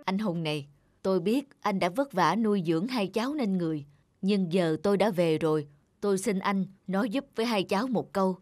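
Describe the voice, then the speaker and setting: A woman's voice: medium pitch, expressive, brisk, a little mellow, no echo, clean studio sound, natural voice. A woman voicing a remorseful, insistent middle-aged father in a scripted cartoon.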